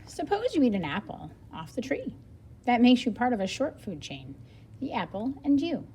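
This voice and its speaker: A woman's voice: on the high side, huge pitch swings, moderate pace, a little mellow, slight background noise. A woman reading a children's nonfiction book aloud like a teacher, explaining as she goes for young learners. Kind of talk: read-aloud of nonfiction picture book with brief explanatory asides.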